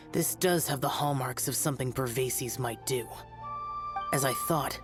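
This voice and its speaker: A woman playing a solemn, stern ritual master of arcane arts in a video game, speaking in a formal, grave, measured way. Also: very deep voice, full character voice. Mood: grave, composed. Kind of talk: video game character acting.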